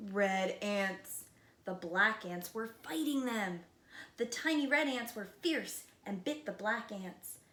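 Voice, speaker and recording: A woman preschool teacher reading a picture book aloud expressively, with dramatic slowed emphasis and hushed moments. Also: a little high. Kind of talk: expressive children's read-aloud. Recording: roomy echo, light background noise.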